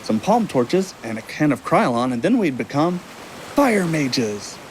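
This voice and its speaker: A man's voice: on the high side, a little slow, low-quality recording. A man acting in an audio drama as a wistful, curious traveling stage magician, talking in a casual, musing way. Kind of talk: audio drama dialogue, naturalistic. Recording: steady sea and wave noise behind.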